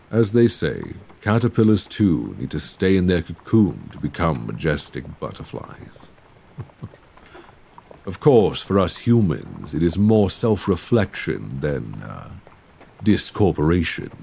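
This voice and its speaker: Casting: male actor as tenderly charming gentleman vampire, Victorian ASMR drama, gravelly, very expressive melody, formal and attentive. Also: full character voice. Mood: reflective, gentle. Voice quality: low, creaky voice. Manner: genteel, formal, solicitous phrasing with endearments. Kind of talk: ASMR roleplay, scripted intimate monologue. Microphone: close binaural microphone.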